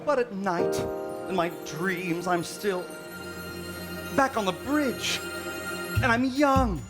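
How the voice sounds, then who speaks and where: Aging space captain, glum and halting, slightly high register, male actor, stage musical parody with music underneath. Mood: nostalgic, singing. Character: weary, self-pitying aging male starship captain facing fifty.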